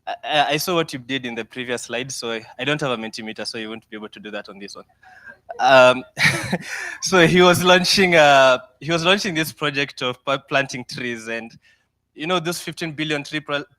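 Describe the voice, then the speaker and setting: Young Kenyan founder, bright forceful tone, dry close sound, candid and upbeat. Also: male voice, mid-range voice, moderate pace, no echo. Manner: lively, self-deprecating, mixes in Swahili. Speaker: startup founder guest speaker. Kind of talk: mentorship talk with slides.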